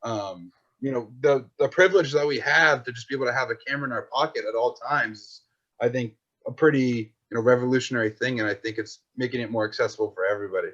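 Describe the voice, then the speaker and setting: A man's voice: mid-range voice, quick pace, fairly bright tone. A man in his twenties, a podcast guest and filmmaker, chatting casually in a rambling, slangy way. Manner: rambling, slangy, full of "like" and "dude". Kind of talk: casual chat. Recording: dull lo-fi sound.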